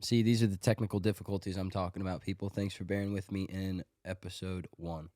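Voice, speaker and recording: Low-voiced congested podcast host. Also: male voice, flat delivery, fast talker, dark tone, dry recording, clean studio sound. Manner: offhand, joking, talks through his picks. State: congested from a cold. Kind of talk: casual sports podcast monologue.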